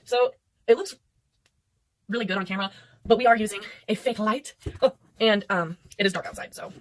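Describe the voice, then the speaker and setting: A woman's voice: mid-range voice, fairly quick, slight background noise. A young woman chatting casually through a makeup tutorial, rambling and poking fun at herself, recorded indoors at night. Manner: rambling, chatty, self-deprecating. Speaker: beauty vlogger. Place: indoors under artificial light at night.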